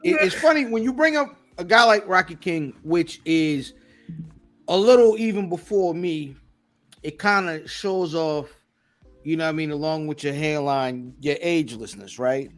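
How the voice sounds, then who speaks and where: A male podcast host with a high voice, playfully teasing his guest. He asks questions in loose, joking banter. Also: animated, measured pace, fairly bright tone, faint music behind. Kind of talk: casual chat, joking interviewer.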